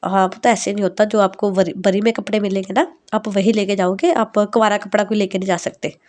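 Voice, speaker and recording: A woman voicing an affectionate middle-aged mother who speaks in a gentle, coaxing way. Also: medium pitch, steady tone, fairly quick, lightly acted.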